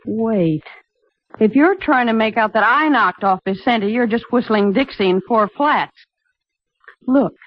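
A woman's voice: mid-range voice, close to an everyday voice. An actress playing a tough, brusque, sardonic woman suspect in a 1950s radio drama. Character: tough, slangy, defiant woman under police suspicion. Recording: muffled old radio recording.

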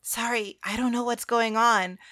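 A woman voicing the polite, eager heroine of an audiobook story in animated dialogue. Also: a little high, measured pace. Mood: explaining. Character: story's polite young heroine, keen and explaining.

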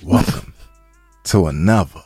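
A man's voice: low-pitched, slow pace, a little mellow, slightly rough, no echo, faint music behind. A man co-hosting a podcast in casual, joking banter, playful and repeating phrases for emphasis. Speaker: podcast co-host.

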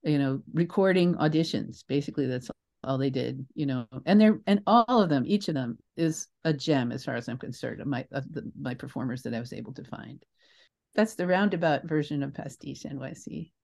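A woman's voice: a bass voice, animated, a little fast, gravelly, a little mellow. An older American woman giving conversational interview answers in a reflective, emphatic and digressive way. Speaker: interview guest, theatre creator.

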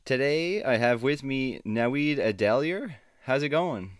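A man's voice: mid-range voice, expressive, a little slow, clean tone, no room sound, clean studio sound. A man hosting a podcast, asking questions with brief friendly prompts. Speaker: podcast host. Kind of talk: casual interview hosting.

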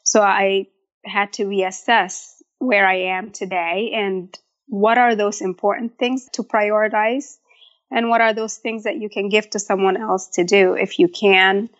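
A woman's voice: medium pitch, steady tone, measured pace, lo-fi sound. A woman in her thirties from Egypt, a food blogger talking casually as a guest in an interview.

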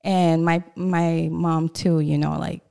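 A woman's voice: sits low, little pitch movement, takes it slowly, creaky, dark tone, clean tone. A woman in her thirties from El Salvador with a Salvadoran Spanish accent, chatting candidly as a podcast guest. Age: 30s. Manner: candid, chatty, laughs often. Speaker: guest, loan officer. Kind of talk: casual podcast chat.

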